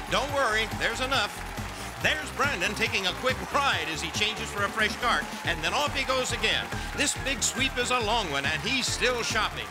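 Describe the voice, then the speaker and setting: An American man giving excited, punchy and playful play-by-play commentary as a game show announcer. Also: high-pitched, poor audio. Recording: loud music behind the voice. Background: loud game show music.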